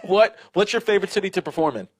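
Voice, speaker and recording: A man's voice: high voice, animated, quick pace. A male comedian asking playful interview-style questions.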